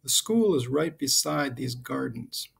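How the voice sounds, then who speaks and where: A middle-aged American man, a high school teacher, giving an informal, halting, explanatory introduction to his class over slides. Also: lively intonation, vocal fry, fairly bright tone, slightly rough. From United States (Connecticut and Southern California).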